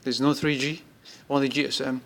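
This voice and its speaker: A man giving an informal, unscripted product demonstration, speaking haltingly in short pieced-together bursts. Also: mid-range voice, little pitch movement, gravelly, noisy background. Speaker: app demonstrator.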